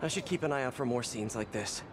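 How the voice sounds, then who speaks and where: An actor playing a young, quippy superhero sleuth in a video game, wryly thinking aloud. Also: male voice, mid-range voice, fast talker, noisy background, natural voice. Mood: curious, wry. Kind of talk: game cutscene acting.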